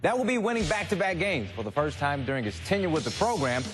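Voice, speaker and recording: Higher-pitched American male sports anchor. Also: very animated, fast talker, over music. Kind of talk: scripted local sports newscast delivery. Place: TV studio.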